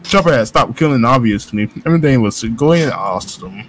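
Man, game narrator, joking read-aloud, animated intonation, mock-dramatic, soft music behind. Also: mid-range voice, fairly quick. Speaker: narrator in a group playthrough.

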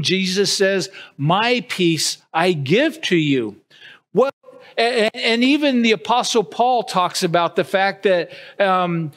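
Middle-aged guy preaching at a church podium, highish voice, unhurried, keeps restarting his lines. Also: fairly bright tone. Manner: earnest preaching with wide arm gestures, frequent restarts. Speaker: pastor. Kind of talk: sermon. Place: church sanctuary with empty pews. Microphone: podium mic at a distance, far camera.

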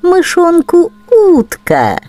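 Very slow, expressive woman storyteller. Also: very high voice, soft background music. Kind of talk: expressive children's verse narration.

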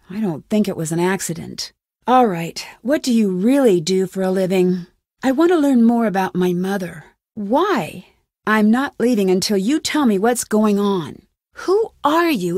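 A woman's voice: mid-range voice, a little mellow, no echo, clean studio sound. A woman voicing a determined, suspicious young amateur detective in a video game, with an earnest, questioning manner. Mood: accusing, firm.

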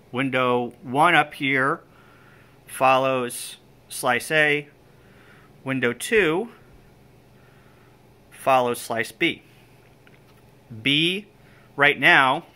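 Bright-voiced man, unhurried demo. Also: medium pitch. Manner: halting, step-by-step explaining in short phrases. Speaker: amateur radio hobbyist demonstrating equipment. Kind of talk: informal hands-on demonstration. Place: indoor room with equipment on folding tables. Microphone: handheld camera or phone, operator behind it.

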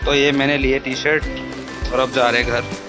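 This is a man narrating a casual vlog in a mid-pitched voice. He addresses viewers as he goes along. Loud music plays over his words. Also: level tone, moderate pace. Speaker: vlogger.

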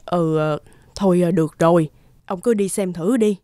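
A woman's voice: lowish voice, moderate pace, warm tone, no room sound, lightly acted. A woman playing a worried, resigned elderly wife and mother in a cartoon, sighing and hesitant. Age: older. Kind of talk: cartoon voice acting.

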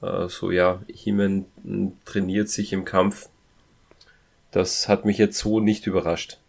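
A man with a fairly low, creaky voice chats as co-host of a review podcast. He talks haltingly, doubling back on words as he goes. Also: level tone. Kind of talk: casual podcast review chat.

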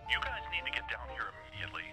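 A man's voice: mid-range voice, sing-song, rapid-fire, over music. An actor playing a dry, clinical male scientist in a video game, clipped and matter-of-fact through a radio effect. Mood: clinical urgency. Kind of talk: game dialogue.

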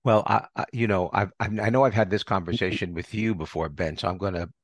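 A man hosting an arts podcast, talking in a halting, rambling way and circling back over his phrases. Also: low voice, dry recording. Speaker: podcast host and interviewer. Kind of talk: conversational arts interview.

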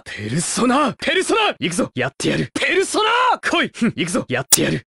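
A man's voice: high voice, wildly swooping pitch, brisk, gravelly, sharp tone, breathy edge, dry recording, clean studio sound, full character voice. An actor playing a bold, cool, commanding teenage boy hero in a game, calling out battle commands. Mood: commanding, determined. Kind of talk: Japanese game battle callouts and cries.

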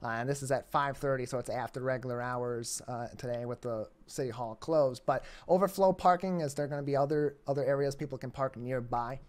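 A young man with a mid-range voice hosts a community TV interview by video call from an indoor room. He reads dates, times and directions in a clear, announcer-like way. His voice comes through a webcam mic. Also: medium pitch, steady tone, brisk, few pauses. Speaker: interview host. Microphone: webcam or laptop mic on a video call.

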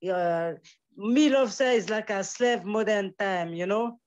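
Man, halting English, dull audio. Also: high-pitched. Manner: halting, searching for English words, Jamaican turns of phrase. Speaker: guest musician. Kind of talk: casual conversational interview.

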